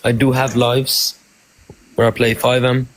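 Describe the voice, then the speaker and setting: A man from Norway, a guest on a live stream, speaking in a hesitant, halting way. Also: mid-range voice, flat delivery, rough recording.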